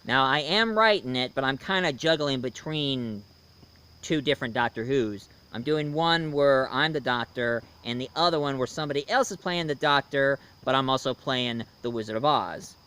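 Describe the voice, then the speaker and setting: A man's voice: medium pitch, normal speed, pushed and bright, clean tone. A man hosting a review, talking casually to his viewers with some dramatic emphasis. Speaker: online reviewer and host.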